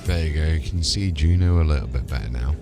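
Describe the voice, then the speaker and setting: A man's voice: a bass voice, fast. A man streaming a game, giving casual live commentary and chatting to viewers. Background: loud music and game sound.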